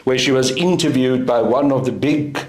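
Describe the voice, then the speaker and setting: A middle-aged South African man whose first language is Afrikaans, speaking in a deliberate, emphatic way as an interview guest. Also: mid-range voice. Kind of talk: interview answer. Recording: roomy echo.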